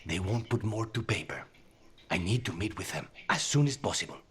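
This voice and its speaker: Low-voiced, grave male game companion, acted by a man. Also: lively intonation, fairly quick, natural voice. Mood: grave, urgent. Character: grave, guarded male companion bringing urgent secret word. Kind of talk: video game dialogue.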